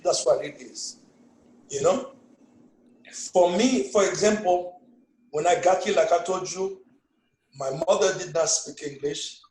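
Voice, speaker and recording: Older Haitian man, strong accent, Creole native, lively anecdotes, roomy echo. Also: high voice. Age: 60s. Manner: animated storytelling, broken English phrasing. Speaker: guest, father. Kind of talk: casual family conversation.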